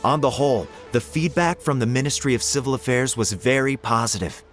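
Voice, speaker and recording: A man playing an earnest, dutiful, easily exasperated young assistant in a video game, expressive in delivery. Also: mid-range voice, a little fast, soft background music, lightly acted. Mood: earnest reporting.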